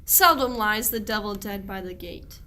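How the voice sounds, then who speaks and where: A woman's voice: medium pitch, moderate pace, bright, forceful tone, natural voice. A woman playing a wary, sardonic shepherd in a medieval radio play, speaking archaic rhyming lines. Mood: wary, scornful.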